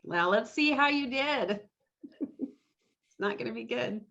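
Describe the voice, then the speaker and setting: A woman's voice: on the high side, expressive, slow pace, gravelly, fairly bright tone. A woman casually hosting a quiz game over a video call. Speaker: quiz host at an online fan club meeting. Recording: dull, lo-fi video call audio.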